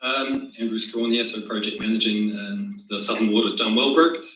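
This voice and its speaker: Low-voiced project manager, hesitant. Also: male voice, normal speed, a bit bright. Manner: hesitant, many fillers. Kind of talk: briefing a council meeting. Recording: roomy, echoey, distant from the mic.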